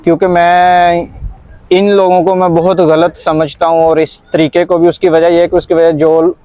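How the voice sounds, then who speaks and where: Higher-voiced Pakistani man, casual vlog host. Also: little pitch movement, normal speed, warm tone, no room sound. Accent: Pakistani Punjabi. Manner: mixes Punjabi and English words into talk. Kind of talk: casual conversational commentary.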